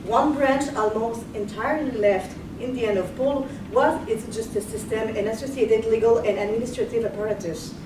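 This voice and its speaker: A young woman giving a history lecture, partly read from notes, measured and earnest, stopping now and then to rephrase. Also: a little high. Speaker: guest lecturer. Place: lecture room. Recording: echoey room, far mic, background noise.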